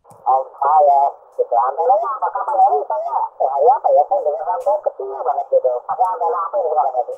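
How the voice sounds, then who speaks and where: A man's voice: fairly high pitch, sing-song, fast talker, creaky, slightly rough. A man chatting casually as a CB operator, heard through a two-way radio. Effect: two-way radio transmission. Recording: narrow, noisy CB radio reception.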